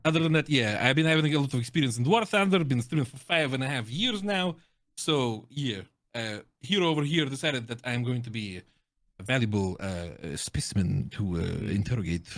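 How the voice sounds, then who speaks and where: A man with a Russian accent chats casually in a mid-pitched voice, recorded dry with very little room sound. He talks like a streamer guest, exaggerating for jokes as he shares his opinions. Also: animated, fairly quick, fairly bright tone, no room sound. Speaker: guest, game streamer and content creator. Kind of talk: casual chat, giving opinions on game audio.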